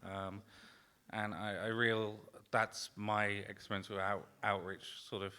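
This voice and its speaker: A man talks informally at a roundtable in a low, very flat-pitched voice. It is bright and clean-edged, though his delivery is halting, with phrases left unfinished. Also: clean tone. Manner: halting, trails off mid-phrase. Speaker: demoscene party organizer on a panel.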